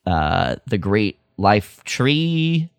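A man's voice: fairly low pitch, big pitch swings, very slow, creaky, clear, resonant tone, dry recording. A man co-hosting a podcast, chatting casually with a wry, joking streak. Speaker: podcast co-host. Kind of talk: casual chat about Star Wars lore.

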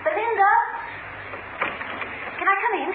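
High-pitched, breezy young English dancer. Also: female voice, unhurried. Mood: bright, apologetic. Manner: breezy, gushing, girlish. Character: chatty, eager young English ballet dancer. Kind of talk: 1970s radio drama acting. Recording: tinny, noisy old radio recording.

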